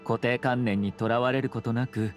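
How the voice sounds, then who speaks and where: An actor voicing a suave young noble lord in a video game, speaking formal, measured with courteous composure and subtle wryness. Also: male voice, medium pitch, fairly even intonation, soft background music, natural voice. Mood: courteous, composed.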